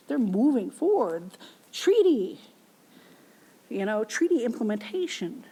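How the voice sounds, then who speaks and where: A woman's voice: fairly high pitch, a little mellow, slightly rough, low-quality recording. A woman giving an academic keynote lecture and answering audience questions in a plainspoken, informal way with frequent fillers.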